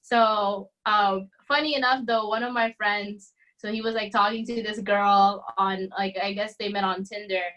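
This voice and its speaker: A woman's voice: mid-range voice, fairly even intonation, normal speed, hardly any pauses, a bit bright, poor audio. A woman hosting a podcast, chatting casually and hesitantly, with frequent fillers and restarts. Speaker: podcast host. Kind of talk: casual chat.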